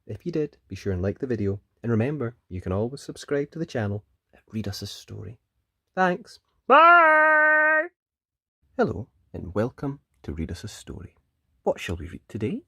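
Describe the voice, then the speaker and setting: A children's storyteller reads a storybook with dramatic, emphatic pauses. The pitch swoops widely, and the recording is close and dry. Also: wildly swooping pitch, bright, forceful tone, slightly rough, no room sound. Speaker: children's story reader, channel host. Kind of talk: animated storybook narration.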